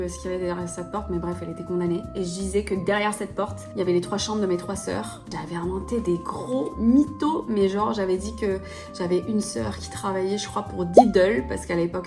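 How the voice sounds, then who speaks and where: A young woman, a native English speaker, tells her life story in a relaxed, chatty, slangy vlog manner. Her voice sits at an ordinary middle pitch. Clear music plays behind her. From born in France, raised in London. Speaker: vlogger. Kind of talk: casual storytime while doing her nails.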